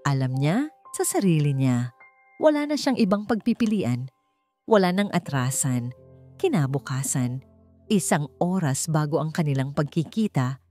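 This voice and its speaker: A woman's voice: sits low, a little mellow. A woman with a Filipino accent reading a scripted romance story in a dramatic narrating style. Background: background music.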